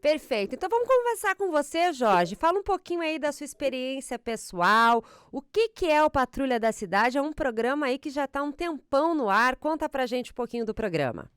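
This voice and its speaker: A woman's voice: sits high, sing-song, a little fast, few pauses, a bit bright, no room sound, clean studio sound. A woman hosting an interview podcast. She opens with a friendly scripted introduction and then asks her guests questions. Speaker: podcast host.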